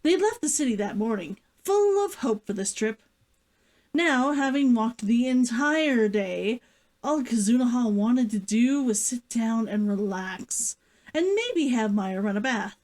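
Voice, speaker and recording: A woman hosting a read-along stream, talking to viewers and reading a story as an excitable vtuber. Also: fairly high pitch, a little slow. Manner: giddy, giggly, gushing and repetitive while chatting.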